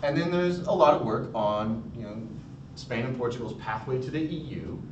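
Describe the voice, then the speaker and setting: Young American academic presenting casually with filler words, recorded far off in a rumbly, muffled room. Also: male voice, expressive, moderate pace. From United States. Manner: casual, chatty, many fillers and restarts. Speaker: academic conference speaker. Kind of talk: recorded conference talk. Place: college room. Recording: distant, echoey, dull, noisy rumble.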